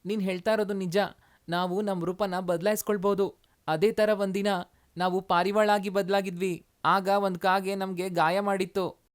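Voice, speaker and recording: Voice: medium pitch, little pitch movement, normal speed, clean tone, natural voice. A narrator voicing an earnest, polite young male demon in human form for a story. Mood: confessing. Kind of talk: animated moral story dialogue.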